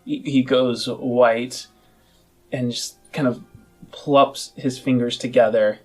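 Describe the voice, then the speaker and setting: A man's voice: mid-range voice, steady tone, takes it slowly, darkish tone, faint music behind. A man narrating a tabletop game as game master, improvising vivid, savored descriptions.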